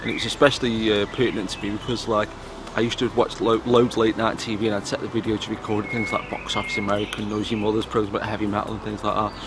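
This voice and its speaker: A man's voice: lowish voice, level tone, fast talker, noisy background. A British man giving casual, chatty commentary to viewers as a vlogger, telling stories informally. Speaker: vlogger presenting old public information films.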